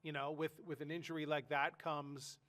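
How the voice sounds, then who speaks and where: A man with a higher-set voice talks sports in a loose, rambling way, full of "you know" and "uh" as he circles back on his points. A head cold leaves him sounding stuffed-up and nasal. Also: flat delivery, moderate pace, fairly bright tone. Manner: rambling, lots of fillers and restarts. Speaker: sports podcast co-host. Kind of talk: casual sports talk.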